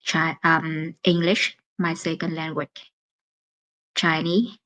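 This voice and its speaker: A woman with a Vietnamese accent, a native Vietnamese speaker hosting a casual solo live stream in English, halting and searching for words with many pauses. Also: low voice, little pitch movement, fairly bright tone.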